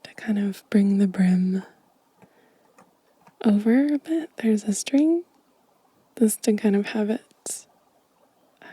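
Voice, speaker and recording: Female ASMR host, very soft and mellow, whispering gently in a breathy, slow delivery. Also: medium pitch, no room sound. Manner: gentle, unhurried. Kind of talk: soft-spoken, whispered ASMR show and tell.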